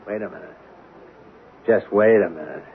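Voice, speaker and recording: Low-voiced, weary working man. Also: moderate pace, breathy edge. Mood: disheartened. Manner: plain-spoken, colloquial. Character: down-on-his-luck working man, trucker, weary and bitter. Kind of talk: old-time radio drama acting. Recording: noisy lo-fi narrow-band radio broadcast.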